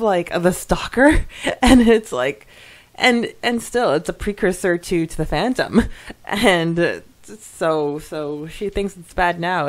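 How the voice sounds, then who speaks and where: A woman co-hosting a podcast, chatting casually and reading listener comments in a giggly, effusive manner. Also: lowish voice. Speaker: podcast co-host.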